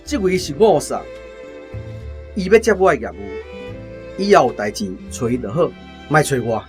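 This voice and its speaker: A brash Taiwanese veteran soldier in a radio drama, lazy and scheming. He talks offhand and cocky in a fairly high, swooping voice over background music. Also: big pitch swings, moderate pace.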